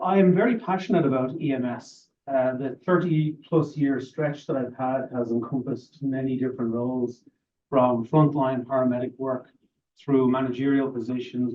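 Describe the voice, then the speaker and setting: Courteous Irish man on tinny distant audio. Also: medium pitch. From Ireland. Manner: measured and courteous. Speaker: board applicant. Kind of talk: formal interview answers. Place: council meeting room.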